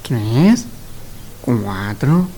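A man's voice: medium pitch, very animated, extremely slow, warm tone, a little hiss or hum. A man playing a gullible, greedy mule rider in a radio play, exclaiming as he speaks. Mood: eager, pleading.

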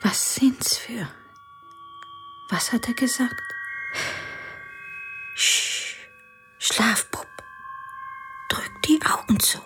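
An actress playing a poor, restless young mother in a radio drama, speaking in a breathy half-whisper. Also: on the high side, animated, drawn-out words, pauses often, heavily acted. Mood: wistful, hushed.

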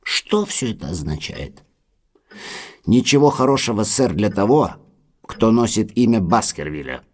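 An actor playing a deferential, uneasy manor butler in an audio drama, grave and full of foreboding. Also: male voice, on the low side, animated, a little slow. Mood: anxious, foreboding.

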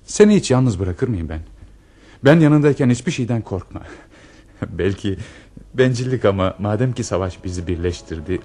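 A man's voice: lowish voice, lively intonation, moderate pace, dark tone. A man playing an ardent, intense officer in earnest, declamatory radio theater style. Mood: insistent, protective. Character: lovestruck, intense male officer in a wartime romance.

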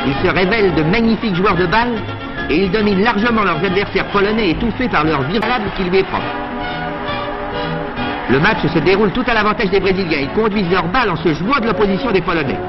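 A man's voice: high voice, lively intonation, fast, lo-fi sound. A man delivering scripted 1930s newsreel sports commentary in a formal, declamatory manner. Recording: scratchy 1930s newsreel, loud music and crowd. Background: loud music and cheering crowd.

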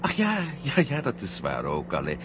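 Wondering, wry space hero, hugely animated intonation, vintage radio drama with a faint cabin hum. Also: male voice, deep voice, normal speed, full character voice. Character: wry, wondering astronaut hero in a moon craft. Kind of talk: 1970 Dutch science-fiction radio drama acting. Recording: muffled old radio recording, faint hum.

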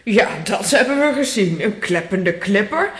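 A woman playing an inquisitive, lively character in a children's audio drama, questioning and exclamatory. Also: mid-range voice, unhurried, roomy sound, natural voice. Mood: curious. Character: inquisitive, lively female friend of a professor.

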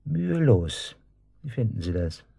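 A man's voice: fairly low pitch, sing-song, measured pace, creaky, dark tone, breathy edge, no echo, studio quality, heavily acted. An actor in a German radio drama playing a smug, taunting man just released from custody, speaking with mocking casualness. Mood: smug, triumphant.

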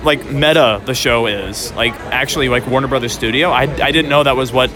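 A man hosting casual on-the-spot interviews at a busy convention, talking in a rambling, halting way. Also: medium pitch, crowd noise. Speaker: podcast host and interviewer. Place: busy public convention space.

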